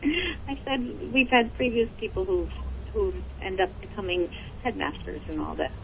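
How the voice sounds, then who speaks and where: A woman with an average-pitched voice talks informally on a dull, lo-fi phone line. She sounds like office staff, brisk and noncommittal as she fields the caller. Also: vocal fry. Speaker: school office staff taking a call.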